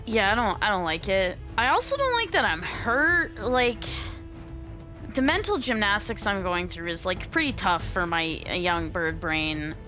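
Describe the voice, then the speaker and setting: A woman's voice: mid-range voice, sing-song, a little slow, pushed and bright, faint music behind. A woman playing in a tabletop roleplaying game, chatting casually and wryly with some swearing. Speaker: guest player in a tabletop game. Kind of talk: casual in-character game chat.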